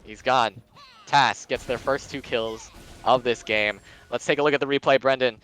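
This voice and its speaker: A man's voice: mid-range voice, fairly even intonation, moderate pace, a bit bright, clean tone. A man giving live play-by-play commentary on a video game match, mixed with casual chat.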